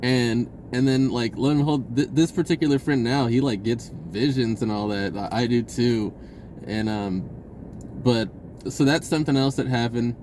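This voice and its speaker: A man's voice: mid-range voice, a little mellow. Casual rambling man over background noise. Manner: informal, slangy, halting with repeated restarts. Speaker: vlogger. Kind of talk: casual rambling personal storytelling.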